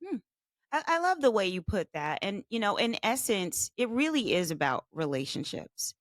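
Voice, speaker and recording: A woman's voice: medium pitch, big pitch swings, normal speed, dry recording, clean studio sound. A woman in her fifties hosting an interview, asking questions in an affirming, encouraging manner. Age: 50s. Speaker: podcast host. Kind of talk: interview conversation.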